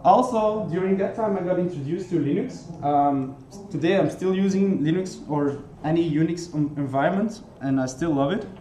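A man's voice: a little high, normal speed, warm tone. A young man from Belgium with a Belgian accent, giving a conference talk in English, earnest and full of ums and restarts. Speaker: conference speaker. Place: conference hall. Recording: echoey hall with faint noise.

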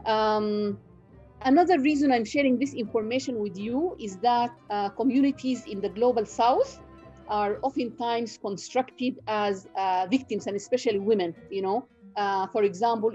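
A middle-aged woman from Sudan, a native Nubian speaker, lectures as a professor over a video call from home. Her voice is mellow and relaxed, and she talks in a measured way, pausing on fillers and restarting phrases. The audio is dull, with faint music behind her. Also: mid-range voice. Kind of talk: online guest lecture. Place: home room.

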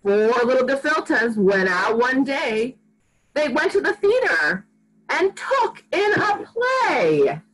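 High-pitched, animated woman reading to children. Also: low-quality recording. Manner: expressive, sing-song, deliberate. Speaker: rabbi reading to preschoolers. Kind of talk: animated picture-book read-aloud for young children. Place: small furnished room at home. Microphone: computer microphone on a video call.

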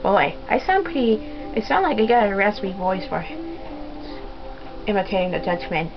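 A woman casually commenting while playing a video game, chatty and quick. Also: medium pitch, lively intonation. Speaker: gamer. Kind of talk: let's play commentary. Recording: dull lo-fi game capture with music.

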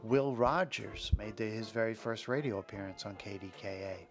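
A man's voice: on the low side, normal speed, over music. Man narrating radio history. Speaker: podcast host and narrator.

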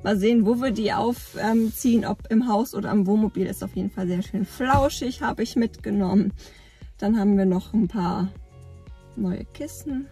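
A woman's voice: mid-range voice, moderate pace, dark tone, soft background music. A woman in her thirties chatting casually in a vlog from inside a parked car, rambling with lots of fillers, filmed at arm's length. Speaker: camping vlogger. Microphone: phone or camera at arm's length.

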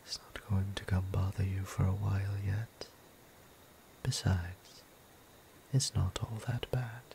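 A man playing a gentle, courteous vampire priest in an ASMR roleplay, speaking softly and breathily in a formal, soothing way. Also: low-pitched, steady tone, slight background noise, full character voice. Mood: gentle, tender. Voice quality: soft, breathy near-whisper. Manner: slow, deliberate, formal, soothing. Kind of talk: intimate ASMR roleplay acting.

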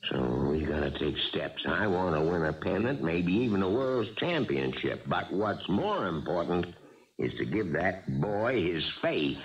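An actor playing a crafty, middle-aged American baseball manager in a radio drama, folksy and coaxing. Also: male voice, deep voice, big pitch swings, unhurried, full character voice. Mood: pensive. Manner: folksy, persuasive. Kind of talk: 1970s radio drama acting. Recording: dull, muffled old radio recording.

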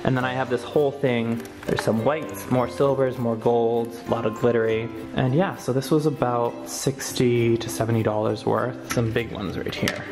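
A young man chatting in a campy, animated way as he decorates his living room, filming himself at arm's length. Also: medium pitch, moderate pace, hardly any pauses. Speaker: vlogger. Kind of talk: casual home decorating vlog. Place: large bright living room. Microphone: camera held at arm's length. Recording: roomy room with music behind.

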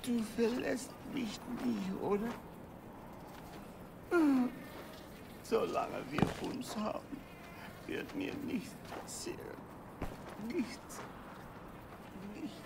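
Creaky, distraught German townswoman. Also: a little high, lively intonation, takes it slowly, pauses often, very noisy, full character voice. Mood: fearful, murmuring. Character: bitter, distraught German townswoman cursing and fretting. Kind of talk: video game acting.

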